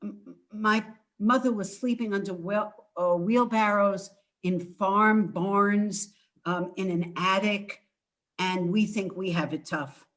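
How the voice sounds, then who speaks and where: An older woman speaks at an unhurried, slow pace, pausing and restarting, then leaning on key words. She sounds like an author giving an informal talk from home on a video call. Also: mid-range voice, a bit bright. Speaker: author presenting her book. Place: indoors at home.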